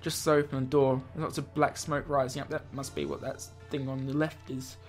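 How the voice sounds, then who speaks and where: A man's voice: mid-range voice, little pitch movement, brisk. A man hosting a let's play, reading a visual novel aloud with off-the-cuff asides and stumbles over words. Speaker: gaming host. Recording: music behind the voice.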